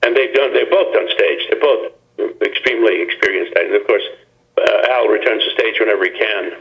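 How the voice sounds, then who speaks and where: An older actor talking as a guest in a phone-in interview, reflective and rambling, with many restarts. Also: male voice, lowish voice, fairly quick, vocal fry, a bit bright, rough recording.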